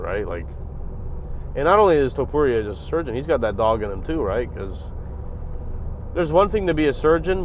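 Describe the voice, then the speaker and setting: A man hosting a reaction video, giving casual, profane commentary on a fight, jumping from loud bursts to low mutters. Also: a little hiss or hum.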